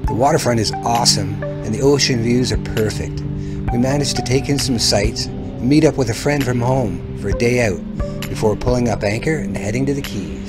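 A man's voice: medium pitch, normal speed. Man narrating a travel vlog over music. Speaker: vlog host.

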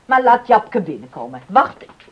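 A woman's voice: mid-range voice, sing-song, moderate pace, breathy edge, highs cut off. An older actress playing a practical, kindly island mother in a radio drama, motherly and no-nonsense. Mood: firm.

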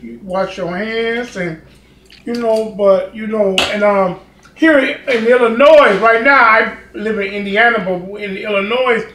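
A man's voice: high-pitched, fairly bright tone. A man in his fifties hosting a casual home cooking tutorial, chatting and rambling with lots of fillers as he films himself in his kitchen. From Chicago, United States. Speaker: home cooking channel host. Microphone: camera mic, filming himself. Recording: echoey kitchen with faint noise.

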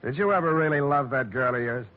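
An actor playing a tough, wry American private detective in clipped, sardonic 1940s radio style. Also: male voice, mid-range voice, fast talker, lightly acted. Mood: cool, needling. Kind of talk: 1940s radio acting, hard-boiled narration. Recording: dull noisy old radio recording.

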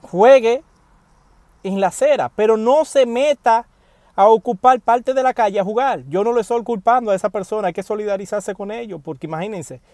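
A man delivers casual news commentary from the driver's seat of a parked car, his voice pitched fairly high. He talks animatedly and appeals straight to his viewers. The sound is dry and close, picked up by a wired earphone mic. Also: moderate pace, no echo. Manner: animated, addresses viewers directly, emphatic pleas.

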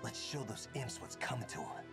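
A man's voice: on the low side, lively intonation, normal speed, gravelly. An actor playing a brash, crude, teasing young male soldier in a game. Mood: cocky. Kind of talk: video game voice acting. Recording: heavy background music.

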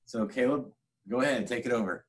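A man's voice: medium pitch, fast talker, breathy edge. A middle-aged man speaks as the host of a children's story show. His talk is casual and addressed straight to the viewers, recorded indoors on a webcam a short way off.

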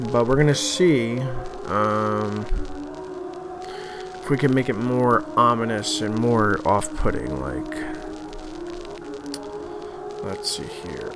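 A man giving casual, halting commentary as he thinks aloud over a screen recording, often trailing off. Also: fairly low pitch. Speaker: host of an art-making video series.

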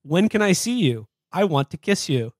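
An American man hosting a podcast, giving casual comedic commentary and reading aloud in a halting, wry way. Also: sits high, big pitch swings, dry recording, clean studio sound. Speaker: podcast host.

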